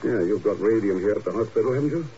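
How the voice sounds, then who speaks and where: An actor as a hard-to-fool radio detective, quick and clipped with a dark, lowish voice. He is dry and skeptical, dogged in his questioning. The old 1950s radio recording is muffled and noisy. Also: expressive, close to an everyday voice. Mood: dry, probing. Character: wry, dogged American secret agent investigating a murder. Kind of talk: 1950s radio drama acting.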